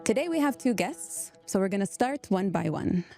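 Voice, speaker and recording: A woman hosting a conversational podcast interview in a polite, gently probing way. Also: mid-range voice, big pitch swings, normal speed, over music. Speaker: podcast host.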